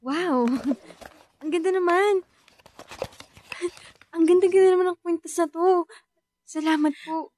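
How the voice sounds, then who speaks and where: A woman acting a cheerful, grateful young college student in a radio drama, polite and expressive, with a Filipino accent. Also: very high-pitched, unhurried, long pauses, a little hiss or hum.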